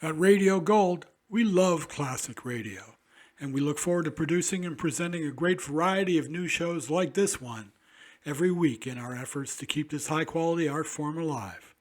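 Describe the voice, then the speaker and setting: A man gives a friendly channel outro in a fairly high voice. The recording is clean and modern. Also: normal speed. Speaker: channel host.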